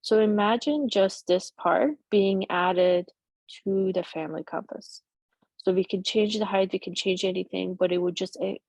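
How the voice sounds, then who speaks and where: A middle-aged woman whose first language is Persian, casually walking through a software demo on a video call with many fillers and restarts. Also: medium pitch, vocal fry, dry recording. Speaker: presenter demoing a chatbot tool.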